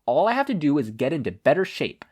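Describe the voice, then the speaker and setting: A man's voice: fairly high pitch, big pitch swings, fast, a little mellow, slightly rough, voice pushed up. A man voicing a supervillain mastermind in frantic denial, nervously stammering and reassuring himself in a fan fiction reading. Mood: nervous scheming.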